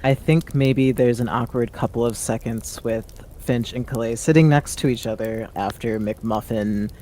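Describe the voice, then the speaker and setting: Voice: mid-range voice, steady tone, moderate pace, dark tone. A tabletop role-player giving casual, improvised narration of what their character does.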